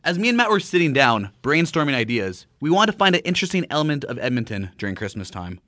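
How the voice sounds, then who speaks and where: Lively, quick-talking man. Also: fairly high pitch, no room sound, clean studio sound. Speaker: podcast segment co-host. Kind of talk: conversational scripted presenting.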